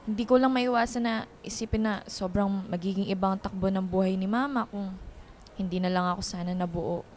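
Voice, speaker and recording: A woman's voice: medium pitch, steady tone, fairly quick, darkish tone, a little hiss or hum, close to an everyday voice. A young woman playing a wounded, brooding daughter in a radio drama, plaintive and conversational, mixing in some English. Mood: bitter, wistful.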